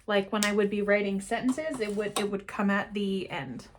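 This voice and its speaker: A woman giving an unscripted spiritual card reading to camera, meandering and often trailing off into quiet asides. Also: mid-range voice, steady tone, normal speed, slight background noise. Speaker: intuitive card reader.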